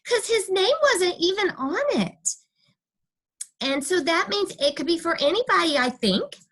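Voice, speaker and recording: A woman's voice: very high-pitched, animated, unhurried, a bit bright, pitched above the performer's own voice, full character voice. A woman voicing a cheeky, stubborn kindergarten girl who tells her own story with childish, emphatic, dramatic delivery. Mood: defiant, pleased. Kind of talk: first-person children's storybook reading.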